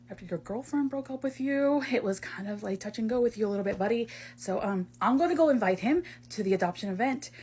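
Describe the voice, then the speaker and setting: A woman playing an easygoing, protective young man in an amateur audio drama, coaxing and soothing in husky, bro-ish talk. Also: fairly high pitch, a little mellow. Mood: gentle.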